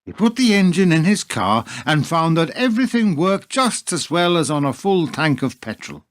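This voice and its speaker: Dry, animated male storyteller played by an actor. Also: fairly high pitch, moderate pace, dry recording. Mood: deliberate, wry. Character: wry, philosophical male storyteller narrating a dark fable. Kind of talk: scripted radio play narration.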